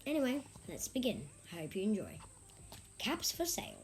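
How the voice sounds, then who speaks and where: An informal, youthful-sounding storyteller hosting a picture book read-aloud, sometimes halting and repeating words. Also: medium pitch, wildly swooping pitch, soft background music. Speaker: story reader and host.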